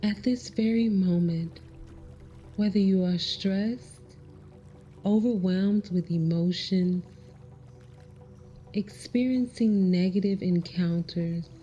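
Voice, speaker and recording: A woman leading a guided meditation in a measured way, pausing between short phrases. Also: medium pitch, mellow, dull audio, faint music behind. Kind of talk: slow guided meditation narration.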